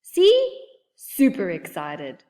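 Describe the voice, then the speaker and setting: A woman voicing an awkward young bigfoot boy, squeaky, whiny and self-conscious. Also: huge pitch swings, extremely slow, mellow, heavily acted. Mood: sulky, sarcastic. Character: awkward, anxious, sulky young bigfoot boy, huge for age.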